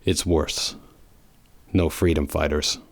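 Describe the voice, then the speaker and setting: A grim, brooding hedgehog antihero played by a man in an audio drama. The voice is very deep, grave and guarded, with terse delivery. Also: fairly even intonation, takes it slowly, vocal fry, heavy background noise, exaggerated voice. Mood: stern. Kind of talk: fan audio drama acting.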